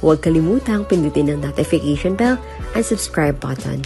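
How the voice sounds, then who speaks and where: A woman's voice: sits low, lively intonation, a little fast, dark tone, faint music behind. A woman speaking as channel host, delivering a scripted promo.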